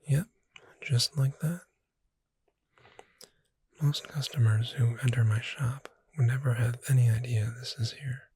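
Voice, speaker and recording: A performer voicing a gentle, reassuring witch bookseller in a breathy whisper, soothing the listener in an ASMR roleplay. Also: mid-range voice, fairly even intonation. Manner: slow, gentle, soothing, addressing the listener directly.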